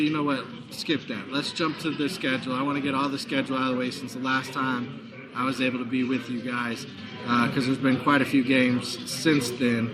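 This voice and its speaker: A man with a hoarse voice hosting a live sports talk show, chatty and promotional, from a busy bar. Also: medium pitch. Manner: chatty, promotional, frequent fillers. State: hoarse, recovering lost voice. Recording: noisy bar crowd behind. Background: crowd chatter.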